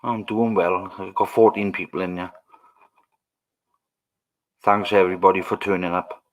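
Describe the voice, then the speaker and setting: Welsh man, livestream host, lowish voice, easygoing rambling chat to viewers. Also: fairly even intonation, normal speed, phone-quality sound. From Wales. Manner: rambling, musing aloud to viewers.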